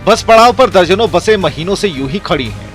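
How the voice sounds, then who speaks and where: A man's voice: high-pitched, expressive, brisk, a bit bright, faint music behind. A man reading scripted television news reports as a voice-over presenter.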